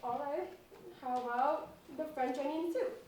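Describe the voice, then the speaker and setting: A young woman acting in an amateur stage comedy as an eager, increasingly exasperated dinner date, expressive and incredulous. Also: high voice, measured pace. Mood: eager, helpful. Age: teenager or young adult. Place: echoey indoor stage, recorded from afar. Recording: echoey stage, distant mic, faint noise.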